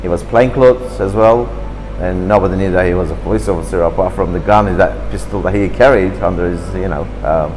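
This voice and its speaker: Guy in his 50s, lowish voice, chatty and animated, café with some reverb. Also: a little fast, hardly any pauses, faint music behind. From Turkey. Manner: chatty, animated, gestures with hands, slightly broken English. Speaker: interviewee. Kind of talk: reminiscing in an interview. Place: café, seated at a table indoors. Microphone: camera across the table.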